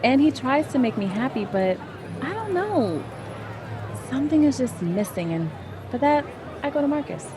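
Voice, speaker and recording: A woman's voice: high-pitched, big pitch swings, normal speed, a crowd in the background, noisy background. A woman acting in an audio drama as a brash, restless, unapologetic wife with a sassy, casual manner. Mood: restless, defiant. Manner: sassy, casual girlfriend banter. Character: brash, restless married woman, unapologetic about her affair.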